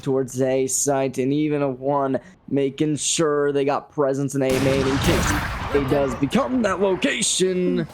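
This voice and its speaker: A man giving live, excitable play-by-play commentary on an esports match with dramatic calls. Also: mid-range voice, a little slow, over music.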